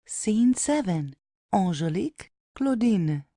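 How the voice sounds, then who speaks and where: A woman's voice: medium pitch, sing-song, slow pace, mellow, no room sound. A woman narrating, reading out stage directions in a scripted style.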